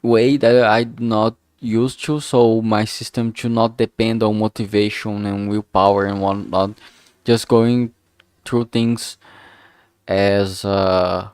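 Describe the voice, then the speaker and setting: Low-voiced man, casual halting streamer. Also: level tone, darkish tone, smooth, no room sound, clean studio sound. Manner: frank, heavily swearing, halting with self-corrections. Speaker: streamer, game developer. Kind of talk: casual rambling livestream chat.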